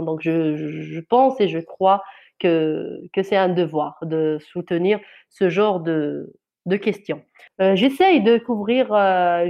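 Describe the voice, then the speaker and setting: A woman journalist from Algeria whose first language is Kabyle, speaking in an interview in an earnest, halting way with many restarts and fillers. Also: on the low side, muffled recording. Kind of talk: interview answer, personal account.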